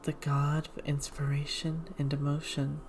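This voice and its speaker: A woman speaking in a hushed, measured ASMR style, slipping into whispers as she reads a game aloud. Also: low voice, level tone, slight background noise. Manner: hushed, slow, measured reading with whispered passages. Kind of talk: ASMR, whispered and soft-spoken game read-through.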